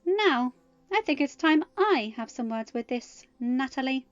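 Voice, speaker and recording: A woman's voice: high voice, lively intonation, a little slow, smooth, no echo, dull audio, faint music behind, pitched above the performer's own voice. Woman voicing a firm, regal goddess mother, close-up. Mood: gently amused.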